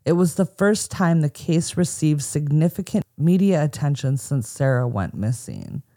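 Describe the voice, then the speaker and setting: A woman's voice: deep voice, sing-song, moderate pace, mellow. An American woman co-hosting a podcast, telling a true crime story from a script with casual asides. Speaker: podcast co-host.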